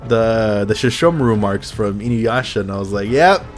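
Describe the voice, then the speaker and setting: A man with a lowish voice talks in a loose, rambling way, dropping curse words and breaking into laughter often. He comes across as a laid-back podcast host in casual chat, with faint music under the talk. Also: lively intonation, moderate pace.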